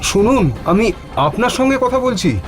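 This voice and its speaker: A man voicing an anxious, stranded doctor in an animated horror story, polite but urgent and insistent. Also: very high voice, moderate pace, voice pushed up. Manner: urgent, questioning, exclaiming. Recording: music behind the voice.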